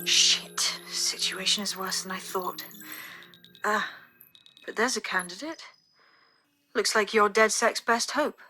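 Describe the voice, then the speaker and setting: An actress playing a resolute lone hacker in a video game, muttering to herself. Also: female voice, medium pitch, slow pace, pauses often, sharp tone. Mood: focused. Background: music.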